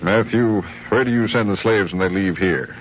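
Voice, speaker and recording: An actor playing a shrewd, insistent sheriff who questions deliberately and politely, in a 1950s radio drama. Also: male voice, on the low side, close to an everyday voice. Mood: interrogating. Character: probing, shrewd male sheriff hunting runaway slaves. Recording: muffled, noisy 1950s radio recording.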